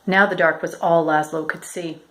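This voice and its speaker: A woman reading a children's picture book aloud as a storyteller, in a measured, expressive way. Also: lowish voice, rough recording. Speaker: storyteller, educator.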